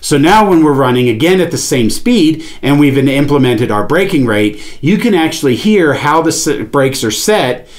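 A man's voice: fairly high pitch, lively intonation, normal speed, hardly any pauses. A man in his forties giving an instructional product demonstration, explaining things step by step. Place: small indoor studio with padded panel wall, seated at a table.

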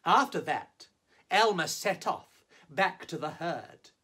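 A man performing as a drag storyteller, reading a children's picture book theatrically with exaggerated emphasis and drawn-out words. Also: a little high, lively intonation, sharp tone, breathy edge. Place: small indoor set, on camera.

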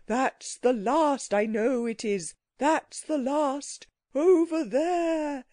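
A woman's voice: sits high, drawn-out words, mellow, dry recording, clean studio sound, pitched above the performer's own voice. A woman voicing a fierce, sulky, bossy little ancient creature in a storybook reading, with a grumpy, imperious manner. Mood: fearful, fierce. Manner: grumpy, imperious, clipped exclamations.

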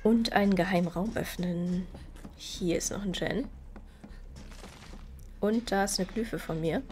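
A woman's voice: on the low side, measured pace, darkish tone, slight background noise. A woman with a stuffy, slightly nasal voice from a cold, chatting casually while she plays and explains game mechanics. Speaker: gaming video creator. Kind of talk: casual gameplay commentary.

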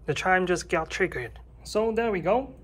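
A man's voice: sits high, lively intonation, normal speed, a bit bright, breathy edge. A man in his thirties presenting a casual, hands-on tech tutorial and explaining it step by step.

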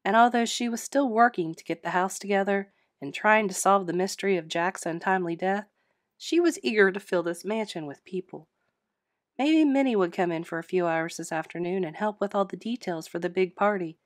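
A woman's voice: mid-range voice, a little fast, no room sound, studio quality. A woman narrator reading a cozy mystery novel aloud in a scripted storytelling style. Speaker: audiobook narrator.

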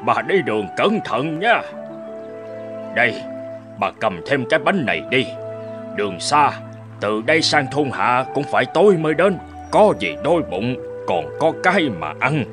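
Old cartoon husband, male actor: caring, mid-pitched, very varied melody, music behind. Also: big pitch swings, normal speed, lightly acted. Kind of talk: cartoon fairy-tale dubbing.

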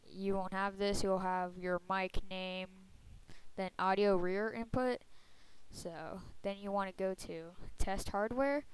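A young woman with a soft voice giving a casual computer tutorial, chatty and full of fillers. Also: mid-range voice, steady tone, slow, smooth, dry recording, low-quality recording. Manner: soft-spoken. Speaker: tutorial maker. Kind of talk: screen-recorded computer tutorial. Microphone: computer microphone.

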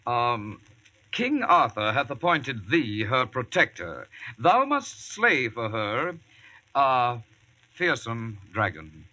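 A man's voice: mid-range voice, sing-song, slow pace, sharp tone, highs cut off, full character voice. A young man playing an eager, deferential medieval page with earnest, archaic courtly speech in a radio drama. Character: eager, deferential high-born youth serving as medieval page. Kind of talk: 1940s radio acting.

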